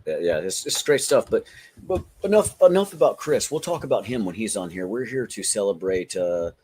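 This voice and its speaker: A man's voice: on the low side, lively intonation, moderate pace, darkish tone, a little hiss or hum. A middle-aged man hosting a podcast, chatting casually about toys in an informal, joking way. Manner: jokey, informal, swearing. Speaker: podcast host.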